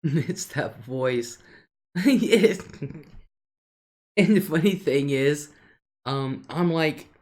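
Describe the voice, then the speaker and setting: An older woman with a deep alto voice, casually chatting on her live stream and reading out viewer comments, laughing often between remarks. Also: very slow, long pauses, darkish tone.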